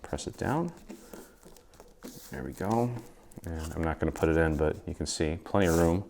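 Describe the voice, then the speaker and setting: An American man speaks in a low voice as he hosts a craft tutorial. His delivery is casual and easygoing, walking through each step informally. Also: lively intonation, moderate pace, vocal fry, darkish tone, a little hiss or hum. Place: indoor craft studio.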